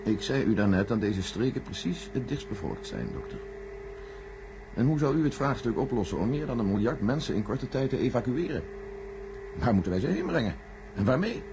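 A man playing a measured, secretive senior official in a science-fiction radio play, formal, deliberate and condescending. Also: mid-range voice, lively intonation, natural voice. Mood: grave, defensive. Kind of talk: radio drama acting. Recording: noisy old radio recording with hum.

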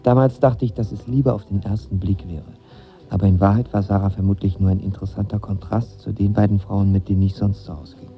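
A man's voice: fairly low pitch, little pitch movement, fairly quick, lightly acted. An actor playing a lovestruck, nervous young man who tells his story in casual, slangy radio drama narration. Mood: wry, reflective. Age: young adult. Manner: casual, slangy, self-deprecating. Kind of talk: first-person radio drama narration with dialogue. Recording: slightly dull audio with music behind.

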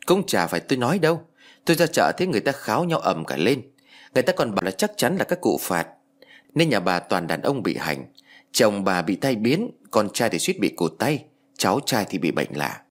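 A man's voice: lowish voice, sing-song, a little fast. A man voicing a gossipy, practical older village woman, chatty, insistent and a little defensive, in an audio story. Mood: gossipy, advising.

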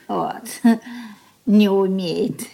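An actress in a Soviet radio drama playing a worried, soft-hearted mother of a merchant household, sighing and fretful. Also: mid-range voice, fairly even intonation, extremely slow, vocal fry, heavily acted.

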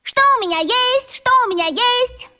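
A boastful, gushing young schoolgirl for a children's radio drama. The voice is extremely high and childlike, ringing in a long echo. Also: expressive, normal speed, bright, forceful tone, exaggerated voice. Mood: gleeful, boastful. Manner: gushing, showing off. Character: excitable, boastful little red-haired schoolgirl. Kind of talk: children's radio play acting.

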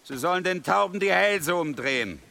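An actor playing a mocking, menacing ringleader in a radio play, with a sarcastic, sneering delivery. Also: male voice, sits high, lively intonation, normal speed, creaky, pushed and bright, a little hiss or hum, exaggerated voice. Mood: commanding, sarcastic. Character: mocking, menacing male ringleader, cruelly sarcastic toward superiors. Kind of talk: radio drama acting.

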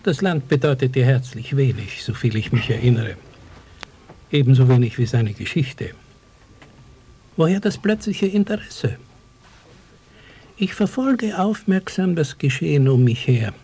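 An actor playing an ironic, pompous village schoolmaster in a radio play, speaking in stilted bookish phrases with a gravelly voice. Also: male voice, mid-range voice, lively intonation, unhurried, pauses often, mellow. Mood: dryly sarcastic. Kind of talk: 1980s German radio play acting. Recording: noisy old cassette radio-play recording.

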